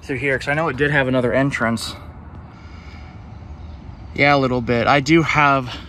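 A man's voice: mid-range voice, steady tone, moderate pace, bright, forceful tone. Man vlogging casually outdoors over traffic noise. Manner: dry, self-deprecating sarcasm. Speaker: urban exploration vlogger. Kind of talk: casual improvised walking-tour commentary. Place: outdoors, walking around a building exterior. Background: passing cars, outdoor urban ambience.

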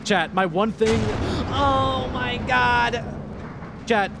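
A young man in his twenties streaming a horror game from home on a headset mic, joking loudly and swearing as he talks to chat. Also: very high-pitched, extremely slow, gravelly, very noisy. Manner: loud, swearing, joking, animated. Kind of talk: casual gameplay commentary with chat. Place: home gaming room, indoors.

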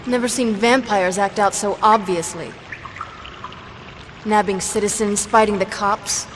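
A woman's voice: mid-range voice, steady tone, a little slow, lightly acted. Game lead, female actor: defiant young vampire fighter, medium voice, dry sassy wit, noisy ambience. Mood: wary. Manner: sardonic, cocky. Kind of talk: video game acting.

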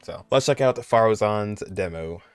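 A man in his twenties reacts casually to a game trailer in an informal, chatty style. His voice is fairly low and smooth, with some music playing behind him. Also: lively intonation, normal speed, dry recording.

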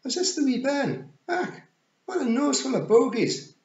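A tiny, boastful Scottish pixie chief, pitched high and swooping wildly. The delivery is loud, strained and rough-and-ready. The recording is dull and roomy. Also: male voice, big pitch swings, unhurried, heavily acted. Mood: brisk, commanding. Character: bold cheerful tiny pixie clan leader, gruff and boastful.

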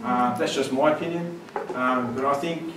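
A man's voice: medium pitch, moderate pace, music in the background. A man in his thirties born in Syria, standing with a handheld mic as a panel speaker, talking conversationally with many fillers and then with growing force. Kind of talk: panel answer at a conference. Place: large lecture room, standing before the panel. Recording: roomy echo, distant camera, audible room noise.

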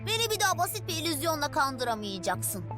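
An impulsive young cartoon guardian with a very high voice. The hero sounds confident, eager and exclamatory over loud action music. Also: female voice, very animated, fast talker. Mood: defiant. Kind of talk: dubbed cartoon acting.

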